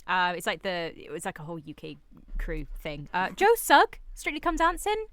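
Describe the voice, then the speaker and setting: A woman's voice: on the low side, huge pitch swings, moderate pace, fairly bright tone, dry recording. Young woman, dry close radio chat. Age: young adult. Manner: informal, reactive. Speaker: radio show co-host. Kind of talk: casual chat.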